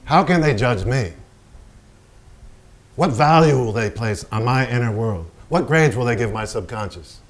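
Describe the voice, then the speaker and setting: A volatile, boastful painter, played by a man in a staged play reading. He swaggers and needles in a mid-pitched voice, circling back on his own phrases. His talk is crude, with insecurity under the bragging. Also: lively intonation, a little slow, lightly acted. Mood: indignant. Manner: swaggering, needling, repetitive, profane. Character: brash, volatile, vulgar American male painter, insecure and boastful. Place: staged reading in a barn venue.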